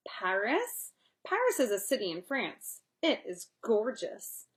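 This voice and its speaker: A woman reading a picture book aloud to young children in an expressive way, pausing to explain and chat. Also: mid-range voice. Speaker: read-aloud storyteller.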